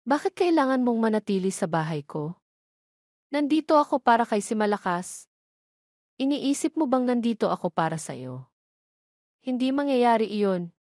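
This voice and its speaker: A woman's voice: fairly high pitch, sing-song, normal speed, pauses often, a little mellow, dry recording, studio quality. A woman voicing a proud, guarded young mother in an audio story, defensive and scolding.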